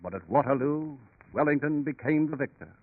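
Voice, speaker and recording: Dramatic 1930s radio narrator, tinny sound. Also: male voice, fairly high pitch, expressive, brisk, no echo. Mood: emphatic. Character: dramatic, portentous radio storyteller.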